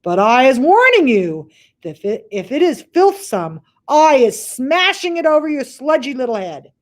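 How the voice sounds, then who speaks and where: Big loud greedy giant guy, mangled grammar, voice jumping all over, lady doing it. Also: high-pitched, sing-song, normal speed, pitched above the performer's own voice. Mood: menacing. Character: huge greedy man-eating male giant, brutish.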